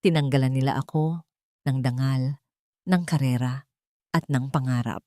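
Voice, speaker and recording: A woman's voice: extremely low pitch, fairly even intonation, normal speed, dark tone, no echo, studio quality, pitched below the performer's own voice. A woman voicing a sickly, bitter and determined man in a romantic story drama.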